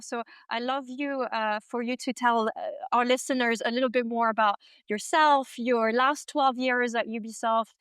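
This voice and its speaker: A woman's voice: fairly high pitch, a bit bright, clear, resonant tone, no echo. A woman co-hosting an interview podcast, introducing a guest with upbeat enthusiasm. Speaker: podcast co-host.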